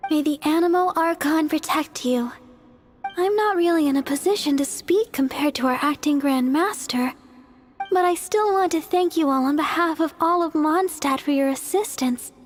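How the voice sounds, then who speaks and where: A woman's voice: sits high, normal speed, soft background music, natural voice. A woman playing a devout, earnest young deaconess who is easily flustered, in a video game. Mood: polite, earnest. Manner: polite and earnest, exclamatory. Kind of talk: video game character acting.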